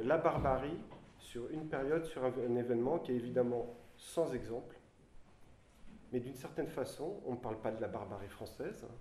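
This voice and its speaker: A man's voice: mid-range voice, darkish tone, slight background noise. French guy on a panel, lots of ums, roomy hall echo. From France. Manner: hesitant, searching for words. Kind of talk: public discussion.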